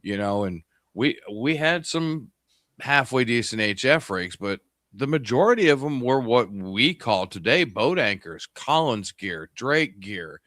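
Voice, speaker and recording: A man's voice: medium pitch, very animated, a little slow, a bit bright, no room sound, studio quality. A man in his fifties from upstate New York, hosting a casual ham radio livestream chat and rambling with many fillers and restarts. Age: 50s. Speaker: ham radio stream host.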